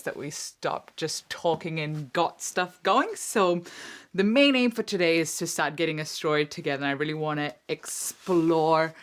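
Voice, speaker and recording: A woman narrating a documentary and informally leading a group as its presenter. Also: low-pitched. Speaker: youth programme leader and presenter.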